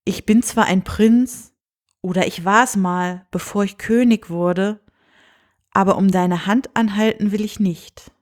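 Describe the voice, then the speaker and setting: A woman voicing a kindly, reassuring king and father in a storybook, calm, friendly and unhurried. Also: medium pitch, lightly acted. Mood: friendly teasing. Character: kindly, reassuring old-fashioned fairy-tale king and father.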